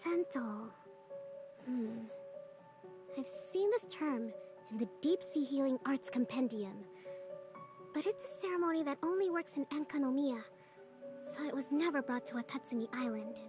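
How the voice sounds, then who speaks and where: A woman voicing a calm, composed young female island leader and strategist in a game cutscene, over game music. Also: high voice. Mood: thoughtful. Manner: gentle, composed, thoughtful. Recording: game audio with loud music.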